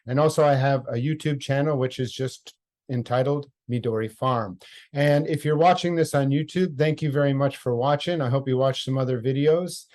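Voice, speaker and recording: A man hosting an online talk, friendly and measured, introducing his guest. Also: medium pitch, a little mellow. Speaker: host.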